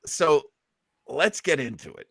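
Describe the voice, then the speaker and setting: A man's voice: fairly high pitch, animated, a little slow, gravelly, fairly bright tone, breathy edge, no room sound. A man chatting casually as the host of a listening party, commenting as he goes.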